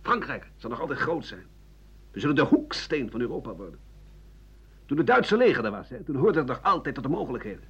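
Sardonic, self-justifying old man for radio drama monologue; husky, rambling delivery, muffled lo-fi recording. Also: medium pitch, sing-song, a little fast, fairly bright tone, full character voice. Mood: defensive, indignant. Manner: rambling, coarse, sardonic, stammering at times. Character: bitter, self-justifying old French doctor-writer looking back. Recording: dull lo-fi 1980 radio recording.

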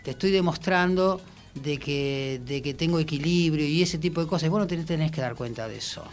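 A man with a Rioplatense Argentine accent talking casually and reflectively in a radio interview, with halting, colloquial phrasing. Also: on the high side, soft background music. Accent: Rioplatense Argentine Spanish. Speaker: musician and songwriter guest.

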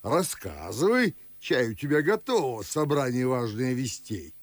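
An actor playing a blustering, fussy old gentleman and father in a verse radio drama, grumbling and exclaiming. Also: mid-range voice, big pitch swings, measured pace, no room sound. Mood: gruff, hearty surprise. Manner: grumbling, exclamatory, swooping delivery. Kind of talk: Russian classical verse radio drama acting.

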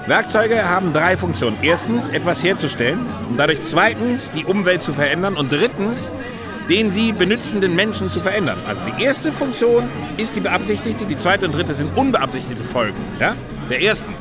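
A man's voice: high-pitched, expressive, fairly quick. A man playing a talkative, opinionated German who rambles and lectures in natural radio play dialogue. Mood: lecturing, enthusiastic. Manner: rambling, lecturing, tag questions. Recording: loud music and crowd chatter behind. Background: loud music, busy crowd.

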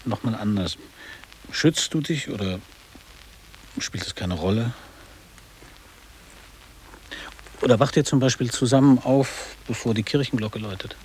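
An actor playing a middle-aged former boarding school pupil in a radio drama, blunt and reminiscing in measured, literary phrases. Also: male voice, mid-range voice, darkish tone, slight background noise, lightly acted, rough recording. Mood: probing, blunt.

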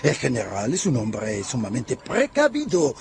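An actor playing a suave, flattering, ingratiating gentleman in a radio drama. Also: medium pitch, measured pace, gravelly, exaggerated voice.

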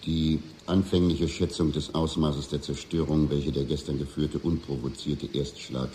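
Casting: stern head of state, dark mellow tone, formal stiff speech, faint background noise. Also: male voice, rumbling low, level tone, normal speed. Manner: stiff, official. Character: stern United States president addressing nation after attack. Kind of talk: formal presidential address in radio drama.

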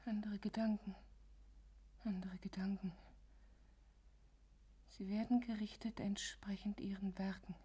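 A tormented wife in a radio play, haunted by guilt and death. Her voice is husky and breathy, often sinking into whispers. She broods and repeats phrases like a dark refrain. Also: mid-range voice, little pitch movement, a little slow, pauses often. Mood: haunted, brooding. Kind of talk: German radio drama acting.

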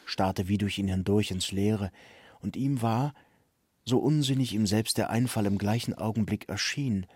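A man's voice: lowish voice, normal speed, darkish tone, no echo, studio quality. A man narrating a literary radio drama from a script. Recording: clear music bed behind the voice.

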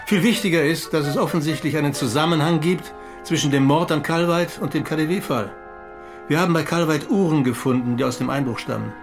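An actor as higher-voiced, clipped police investigator. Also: male voice, fairly quick, soft background music, lightly acted. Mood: businesslike. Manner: factual, clipped. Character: businesslike police investigator, crisp and slightly snide. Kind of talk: radio drama acting.

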